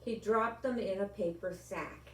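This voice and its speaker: An older woman reads a children's story and talks to young viewers in a deliberate, expressive way. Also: mid-range voice. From Rhode Island, United States. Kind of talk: children's storybook read-aloud and friendly address to young viewers. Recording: echoey room, distant microphone.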